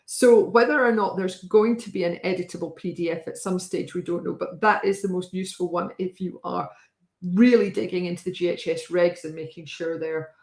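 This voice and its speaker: A woman hosting a casual talk with a wry, chatty manner and a slightly hoarse voice, often pausing with fillers. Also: fairly low pitch, roomy sound. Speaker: host. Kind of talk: casual live-stream talk.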